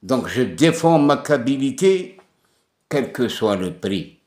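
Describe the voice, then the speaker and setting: Slow, emphatic man. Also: mid-range voice, sing-song, a little mellow. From Kabylie, Algeria. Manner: emphatic, insistent, repeats words for stress. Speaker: political commentator. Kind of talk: impassioned political monologue. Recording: echoey room.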